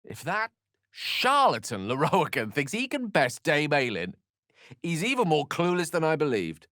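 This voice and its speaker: A man's voice: on the high side, big pitch swings, unhurried, pushed and bright, dry recording, clean studio sound, heavily acted. A man playing an erudite, witty wizard in a fantasy video game, speaking with eloquent, wry and courteous theatricality. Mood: wry, amused.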